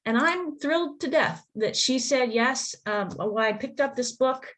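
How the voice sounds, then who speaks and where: A woman hosting a reading introduces the guest author on a video call, halting and full of restarts. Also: sits high, expressive. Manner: warm but halting, with restarts and fillers. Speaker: event host.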